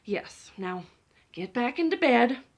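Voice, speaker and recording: A patient, weary papa chicken from a storybook, played by a woman in her high voice. He coaxes and gently chides his child. The intonation rises and falls a lot. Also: big pitch swings, takes it slowly, breathy edge, exaggerated voice. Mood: weary chiding. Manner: gently chiding, coaxing.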